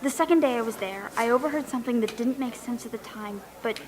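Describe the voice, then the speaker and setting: A woman's voice: a little high, quick pace, crowd noise, lightly acted, poor audio. A woman playing an earnest, insistent young wife and dental assistant with suspicions, in an audio drama performance. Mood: suspicious, earnest. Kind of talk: stage audio drama acting.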